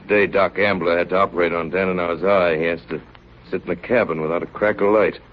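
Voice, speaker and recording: An actor playing a steady, grave ship's officer telling of an Arctic voyage in 1930s radio drama style. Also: male voice, deep voice, quick pace, lightly acted. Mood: grave, weary. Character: ship's chief narrating his Arctic diary, steady and grave. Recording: muffled, noisy 1930s radio recording.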